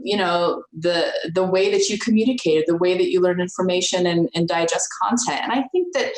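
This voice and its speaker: A woman college instructor talking informally to students, earnest and encouraging, often repeating words as she restarts. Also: on the low side. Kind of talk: informal talk to students about office hours. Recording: roomy echo.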